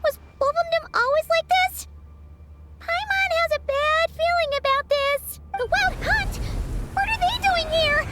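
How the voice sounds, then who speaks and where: A woman voicing a tiny, excitable female fairy companion who talks about herself in the third person. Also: very high voice, a little slow, background noise. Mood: worried. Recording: game audio with loud music behind.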